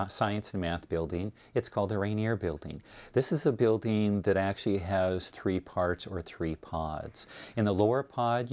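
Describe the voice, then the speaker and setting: A man's voice: low-pitched, a little mellow. A man giving an informative presentation as a college science department representative.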